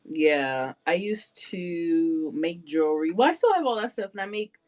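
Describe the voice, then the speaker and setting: A woman's voice: fairly low pitch, expressive, a little slow, a little mellow. A woman in her late twenties chatting casually as a podcast host, self-deprecating and laughing often. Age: 20s. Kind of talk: casual chat.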